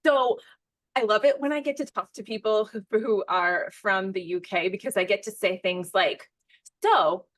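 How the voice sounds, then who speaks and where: A woman's voice: mid-range voice, normal speed, a bit bright, no echo. Gushing American woman hosting a podcast. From United States. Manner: gushing, enthusiastic introductions. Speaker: podcast host. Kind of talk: casual podcast interview.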